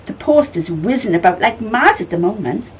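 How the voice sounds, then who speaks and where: A woman's voice: medium pitch, very animated, moderate pace, a little hiss or hum, natural voice, low-quality recording. A woman voicing a wise, sleepy male bird in a children's storybook, explaining things with assurance. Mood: assured.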